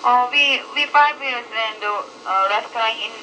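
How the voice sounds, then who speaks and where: A restaurant worker with a non-native English accent, speaking in halting, confused, polite fragments during an unscripted phone conversation. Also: medium pitch, sharp tone. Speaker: restaurant worker taking a customer call. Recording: distant, noisy telephone line.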